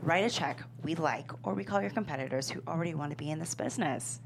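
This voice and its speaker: A woman acting a tough, wry, persuasive dealmaker in a script table read, assertive and creaky-voiced. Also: low voice, fast. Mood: assertive, sly. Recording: faint background hum.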